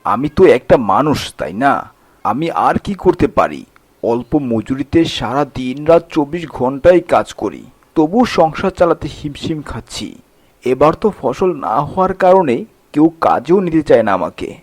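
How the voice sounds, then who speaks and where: A man's voice: medium pitch, big pitch swings, a little slow, darkish tone, husky, no echo. A man voicing a weary, poor, honest village day-labourer in a cartoon fairy tale, plaintive and earnest. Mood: weary, pleading.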